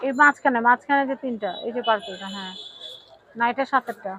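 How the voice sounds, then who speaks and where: A Bangladeshi woman with a high voice hosts a casual shopping video. She talks very quickly, firing off short questions to a seller and repeating details for viewers. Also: noisy background. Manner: repeats prices and phrases, asks short questions. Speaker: video host presenting products. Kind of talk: casual shop showcase, chatting with a seller.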